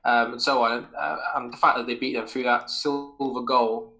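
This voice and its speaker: A man's voice: mid-range voice, steady tone, moderate pace, vocal fry, sharp tone, soft background music, low-quality recording. A man hosting a football podcast, talking casually and enthusiastically through detailed football memories in a rambling way. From Wales. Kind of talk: casual sports chat.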